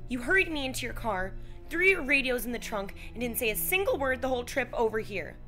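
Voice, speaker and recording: Woman playing a high-voiced distraught film-noir dame. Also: very animated, brisk, music in the background. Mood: pleading. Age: young adult. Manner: pleading, reproachful. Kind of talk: melodramatic film-noir acting.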